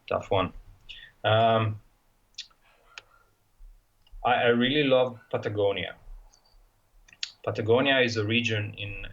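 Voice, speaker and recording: Gravelly low Italian man. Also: fairly bright tone, highs cut off. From Italy. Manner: hesitant, many fillers and restarts. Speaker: guest, motorcycle traveler. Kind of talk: casual interview talk.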